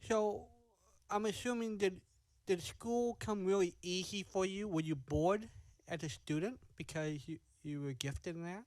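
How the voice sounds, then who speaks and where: Higher-pitched American man, dry close sound. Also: a little slow, darkish tone, no room sound. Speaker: interviewer. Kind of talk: casual interview questions. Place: indoors on a video call.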